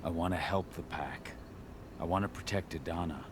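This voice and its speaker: A brooding werewolf warrior in a video game, played by a man, with an extremely deep, gruff voice. He speaks tersely and earnestly, with clear ambient noise behind him. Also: normal speed, natural voice. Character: brooding, guilt-ridden male werewolf warrior. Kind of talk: video game cutscene acting.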